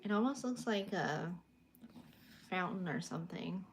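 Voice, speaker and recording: A young woman from California, an artist casually chatting on a livestream from her bedroom as she shows her paintings and answers viewer comments. She is soft-spoken and a little awkward. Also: lowish voice, lively intonation, a little slow, slight background noise.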